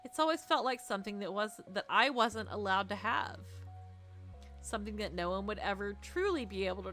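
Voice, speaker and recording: A woman's voice: mid-range voice, bright, forceful tone. A woman streamer reading a visual novel aloud expressively, with playful, teasing asides. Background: music.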